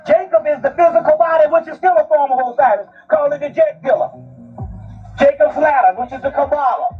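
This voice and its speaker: A man with an extremely high voice lectures in firm, emphatic statements and checks that his listeners follow. The recording is dull and lo-fi, with faint music underneath. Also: brisk. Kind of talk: esoteric lecture. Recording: dull lo-fi audio over music.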